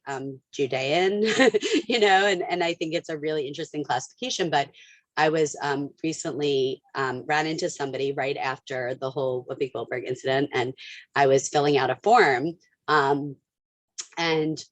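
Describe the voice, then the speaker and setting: A woman's voice: deep voice, no room sound. A woman interview guest telling personal anecdotes in an informal, conversational way.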